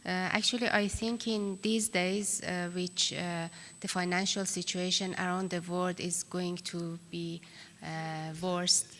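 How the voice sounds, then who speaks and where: A woman's voice: fairly low pitch, little pitch movement, a little slow, fairly bright tone. A woman moderating a conference panel, speaking hesitantly with many fillers. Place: large conference hall. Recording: long conference hall reverb.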